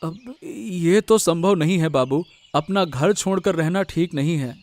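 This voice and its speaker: A man's voice: a little high, a little slow, a little mellow, no echo. A man voicing a humble, worried village servant in a cartoon drama, deferential and hesitant. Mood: worried, respectful. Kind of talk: Hindi cartoon family drama.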